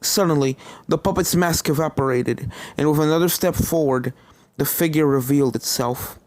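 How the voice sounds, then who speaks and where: A man's voice: a little high, moderate pace, dry recording. A man reading a horror story aloud as a dramatic narrator.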